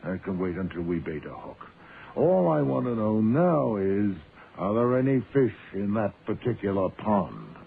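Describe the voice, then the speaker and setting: A commanding genius detective with a low, widely swinging voice. He speaks formally and exactly, giving orders as the superior. The radio drama sound is thin and narrow, with some faint noise. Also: sing-song, measured pace, creaky, full character voice. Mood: deliberate, instructing. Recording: tinny, band-limited old radio, faint noise.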